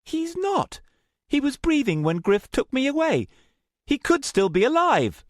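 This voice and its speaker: Guy doing a worried young woman, raised pitch, asks lots of questions, dry sound. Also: sing-song, measured pace, dry recording, exaggerated voice. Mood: pleading. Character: anxious young woman companion, earnest.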